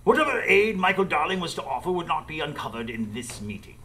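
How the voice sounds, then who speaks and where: Man acting a lively, gentlemanly storyteller. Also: a little high, full character voice. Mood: composed. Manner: measured, literary storytelling. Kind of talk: scripted radio drama narration.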